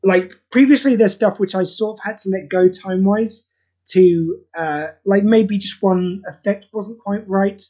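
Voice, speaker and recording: A man with a notably high voice and a husky edge talks casually as an interview guest. He is earnest and rambles informally. The sound is dull and lo-fi. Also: unhurried, mellow. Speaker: interview guest, creator of charity audio plays. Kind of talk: casual podcast interview chat.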